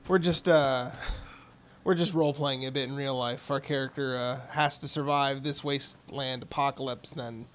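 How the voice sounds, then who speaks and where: A man streaming a game with casual, rambling commentary, hoarse, raspy and a bit mumbly from being sick. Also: medium pitch, steady tone, normal speed, a little hiss or hum. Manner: rambling, chatty asides.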